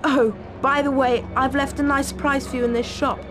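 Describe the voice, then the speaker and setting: High, sneering British schoolboy bully, woman acting. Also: flat delivery, normal speed, lightly acted. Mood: mocking. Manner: drawling, mocking. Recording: prominent music behind.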